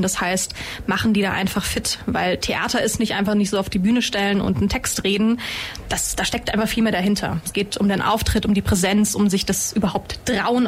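A woman's voice: mid-range voice, slight background noise. A woman in her thirties who heads theater education, talking informally and upbeat about her work. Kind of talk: casual radio chat.

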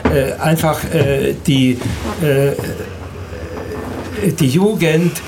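An elderly man who grew up in Serbia and Hungary, recounting his life in an interview in a halting, hesitant way with repeated words. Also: on the high side, fairly even intonation, background noise. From Danube Swabian from Serbia and Hungary. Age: 70s or older. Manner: halting, hesitant, repeats words, often ends with a questioning tag.

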